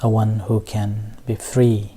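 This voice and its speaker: Slow, mellow male meditation teacher. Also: fairly low pitch, little pitch movement, slight background noise. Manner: halting, measured phrasing with long pauses. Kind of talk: guided meditation talk.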